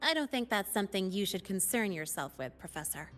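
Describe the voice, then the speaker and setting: An actress voicing a guarded, sharp-witted young academy student in a video game, cool and wry in manner. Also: female voice, medium pitch, very animated, fairly quick, soft background music.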